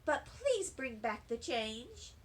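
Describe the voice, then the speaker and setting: A woman's voice: high-pitched, very animated, takes it slowly, exaggerated voice. A woman voicing a young schoolgirl playing a queen in a class play, prim and careful.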